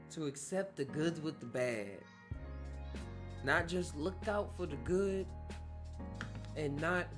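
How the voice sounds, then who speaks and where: A man in his twenties hosting a solo podcast, talking in a rambling, reflective way and often correcting himself. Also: on the high side. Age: 20s. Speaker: podcast host. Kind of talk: casual solo podcast talk. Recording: guitar music playing under the voice.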